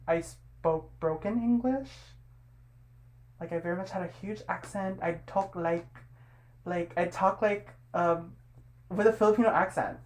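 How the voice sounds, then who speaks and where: A woman's voice: on the low side, low-quality recording. A young woman hosting a casual interview podcast, talking in a laid-back way with lots of fillers. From United States. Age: young adult. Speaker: podcast host.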